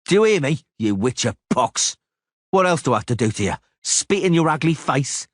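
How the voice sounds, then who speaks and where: A man voicing a brash, hot-headed young thug who jeers and taunts loudly. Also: fairly high pitch, big pitch swings, moderate pace, dry recording, clean studio sound, voice pushed up. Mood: furious. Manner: jeering, loud, challenging. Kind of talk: audiobook character voice.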